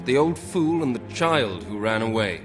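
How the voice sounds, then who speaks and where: A man's voice: mid-range voice, big pitch swings, normal speed, natural voice. An actor playing a grave, imperious tyrant king in a video game, speaking solemnly. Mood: grave, defiant. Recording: music under game dialogue. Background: music.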